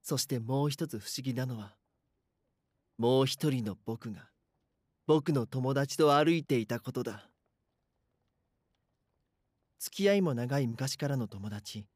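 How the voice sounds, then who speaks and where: A man's voice: mid-range voice, measured pace, darkish tone, no room sound, close to an everyday voice. Anxious schoolboy narrator, acted by a man, dry recording. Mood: tense, uneasy. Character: anxious, bashful schoolboy narrating his own eerie story. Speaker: voice actor. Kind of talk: Japanese voice drama, first-person narration and dialogue.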